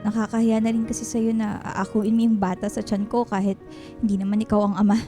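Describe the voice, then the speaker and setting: An actress playing a guilty yet defiant young wife and daughter in a Filipino radio melodrama, dramatic and defensive. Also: female voice, mid-range voice, little pitch movement, fairly quick, warm tone, close to an everyday voice. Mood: resolved, impatient. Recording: music bed behind the voice.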